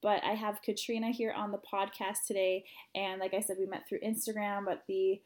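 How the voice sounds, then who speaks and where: A woman's voice: medium pitch, level tone, brisk. A woman hosting a podcast in a bubbly, giggly, upbeat way, chatting casually with her guest. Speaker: podcast host.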